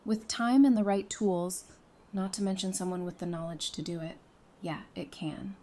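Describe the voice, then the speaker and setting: A woman's voice: on the low side, steady tone, moderate pace, warm tone, slight background noise, close to an everyday voice. A woman playing a friendly, knowledgeable member of a survivor community in an audio drama, speaking in an easygoing, explanatory way. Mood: informative.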